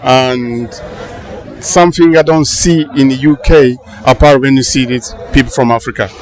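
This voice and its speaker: A man from Angola, answering interview questions in a halting way, often restarting as he searches for words. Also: medium pitch, steady tone. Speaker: interviewee, funeral visitor. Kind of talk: casual street interview. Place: outdoors at a crowded palace funeral. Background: crowd murmur.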